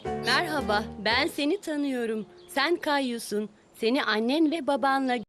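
A woman's voice: sits high, moderate pace, fairly bright tone, faint music behind. A woman playing a friendly neighbor lady in a dubbed cartoon, talking in a kind, coaxing way. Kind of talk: cartoon dub acting.

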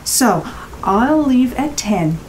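An actress playing a blunt, exasperated rental guest in an audio drama, with emphatic, clipped phrases. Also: female voice, a little high, lively intonation, slow, a little hiss or hum, poor audio. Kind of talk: naturalistic audio drama acting.

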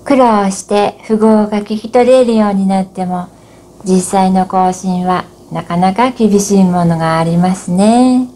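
A woman's voice: medium pitch, measured pace, mellow. A middle-aged woman hosting in a polite, conversational, scripted way, recorded in a small room at home. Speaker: host, MC. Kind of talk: scripted presenting. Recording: roomy echo, faint background hiss.

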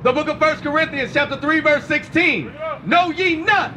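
A man reading Bible verses aloud in a loud, declamatory shout with drawn-out phrases. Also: very high voice, noisy background. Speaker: scripture reader for a preacher.

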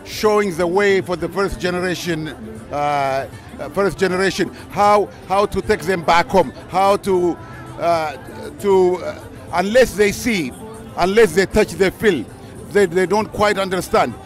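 A middle-aged man speaking earnestly to his son in a halting way, with many restarts, outdoors at a night festival. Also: sits high, faint music behind, a faint crowd. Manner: halting, repeats words, earnest. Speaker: interviewee, host's father. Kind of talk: heartfelt spontaneous speech. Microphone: handheld mic.

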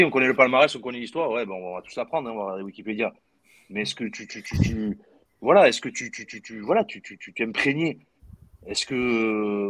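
A middle-aged man speaks over a video call from his home, his low voice stopping and restarting around fillers and repeated words. The sound is dry and close, with no room behind it. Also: a bit bright, dry recording. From southwest France. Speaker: guest, former footballer. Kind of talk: casual video-call discussion. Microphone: video call webcam.

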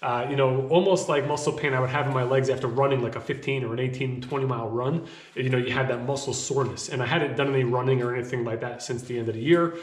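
A man in his thirties talking casually to camera from a small home office, rambling a bit with fillers, his voice slightly hoarse from a cough. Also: mid-range voice, fairly even intonation, quick pace. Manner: conversational, rambling with fillers and restarts. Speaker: vlogger. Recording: echoey room.

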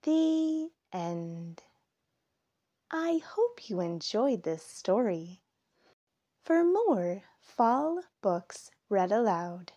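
A woman reading a children's picture book aloud, with deliberate phrasing and expressive emphasis. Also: on the high side. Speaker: storybook reader.

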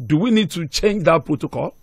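Higher-pitched Nigerian male radio interviewer. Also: animated, a little fast, husky, dry recording. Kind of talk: live news interview. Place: radio studio. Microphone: close studio microphone on boom.

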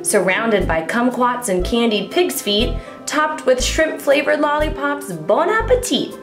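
A woman voicing an excitable, boastful young schoolboy in a storybook, theatrical and eager, declaiming in rhyme. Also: on the high side. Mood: grand, proud. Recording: echoey room with music underneath.

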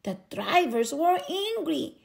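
A woman reading a children's picture book aloud expressively, with theatrical, drawn-out emphasis on key words. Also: high-pitched, big pitch swings, gravelly, darkish tone. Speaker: storybook reader.

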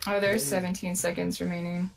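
A woman's voice: fairly low pitch, level tone, brisk, creaky. A woman playing in a gaming group, chatting casually with friends. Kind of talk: casual chat while gaming.

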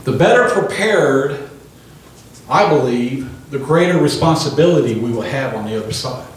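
A man in his sixties preaching a sermon into a handheld microphone in a small church hall, pausing for the congregation's amens. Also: medium pitch, expressive, a little hiss or hum. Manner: emphatic preaching with call-and-response amens. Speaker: pastor. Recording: echoey room from a distant camera.

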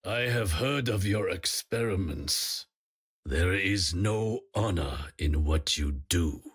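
An honour-bound, stern warrior from a video game. His voice is low, husky and deliberate. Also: lively intonation, a bit bright, exaggerated voice.

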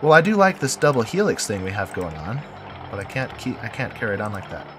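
A man's voice: fairly low pitch, sing-song, fast talker, background noise. A man with a head cold and a nasal, stuffy voice gives casual commentary while building in a game, thinking aloud and correcting himself. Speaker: game video creator.

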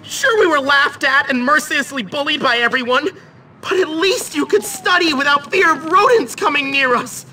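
Shrill, whiny teenage nerd. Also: male voice, expressive, normal speed, sharp tone, a little hiss or hum, exaggerated voice. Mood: whiny indignation. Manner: whining, overdramatic. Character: whiny, indignant teenage boy school nerd. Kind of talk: video game cutscene acting.

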